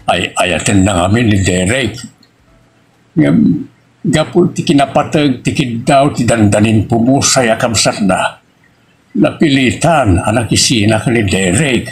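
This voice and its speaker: A solemn older father played by a male actor talks in Filipino radio drama style. He has an Ilocano accent, and dramatic music is clearly heard underneath. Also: mid-range voice, animated, a little slow. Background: prominent music.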